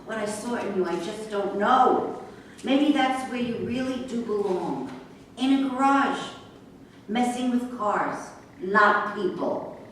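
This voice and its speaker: A woman in a staged play reading, acting a bitter, sharp-tongued racehorse trainer who is hurt, sarcastic and confrontational. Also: on the high side, animated, slow. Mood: accusing. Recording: very echoey room, distant mic, faint noise.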